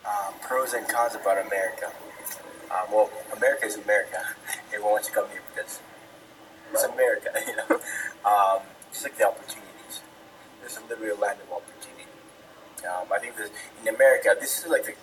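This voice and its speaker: A man's voice: fairly low pitch, fairly quick, long pauses, a bit bright. A young man from Zambia answering interview questions casually, with many ums and the odd laugh. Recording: distant mic, noisy ambience.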